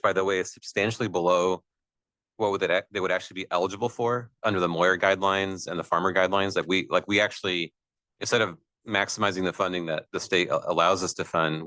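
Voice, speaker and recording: A man with a naturally loud voice, an agency official speaking at length at a public meeting, with frequent fillers and restarts. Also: low voice, no room sound. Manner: expansive, talks at length with many fillers. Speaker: air district official.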